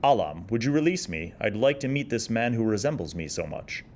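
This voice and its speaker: A man's voice: lowish voice, sing-song, fairly quick, darkish tone, slight background noise. A man voicing a sly shapeshifting doppelganger in a fantasy story, mockingly polite. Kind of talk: dramatic story reading.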